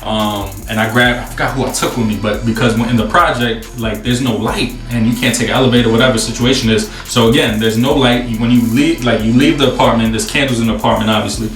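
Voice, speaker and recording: A man in his twenties with a New York accent, telling a story casually to camera in a slangy, profane, animated way. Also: mid-range voice, fast, few pauses. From New York City, USA. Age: 20s. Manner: slangy, profane, animated retelling with acted-out dialogue. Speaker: host. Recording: roomy echo, distant mic, faint music.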